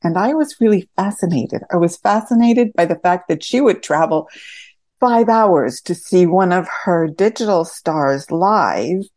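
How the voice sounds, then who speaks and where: A woman's voice: on the low side, animated, a little slow, a little mellow, no echo, clean studio sound. A woman theatre director answering questions reflectively as an interview guest.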